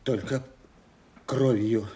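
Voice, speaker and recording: An actor playing a brutal, menacing duke, cruel and commanding, in classical tragic style for a radio play. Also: male voice, mid-range voice, steady tone, slow pace, dark tone, natural voice. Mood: pained, commanding. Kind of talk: classical stage tragedy acting for radio.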